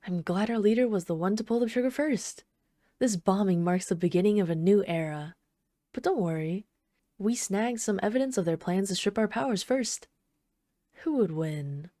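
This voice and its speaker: Woman acting a mellow, obsessive yandere villainess. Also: lowish voice, no room sound, clean studio sound, natural voice. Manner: doting, soft-spoken, possessive, taunting. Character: obsessive yandere female supervillain, sweetly menacing, adoring her captive. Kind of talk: ASMR roleplay.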